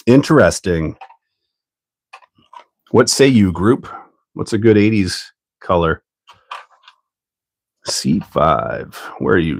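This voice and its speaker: A man from Canada hosting a hobby livestream, talking in a rambling, relaxed way full of ums while stuffed up with a cold. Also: on the low side, gravelly, dry recording. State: congested from a cold. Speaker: livestream host. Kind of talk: casual livestream chat while building.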